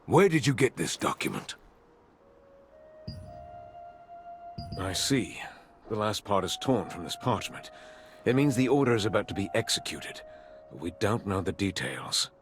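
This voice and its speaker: An actor playing a grave, courteous commander in a fantasy video game, with a husky voice. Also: male voice, on the low side, very animated, moderate pace, faint music behind. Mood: concerned. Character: grave, courteous male commander giving a mission.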